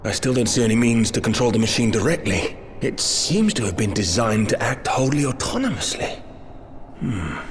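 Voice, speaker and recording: An actor playing a level-headed, practical engineer in a video game cutscene, speaking in a measured, serious, technical way. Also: male voice, medium pitch, expressive, a little hiss or hum, natural voice. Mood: pensive.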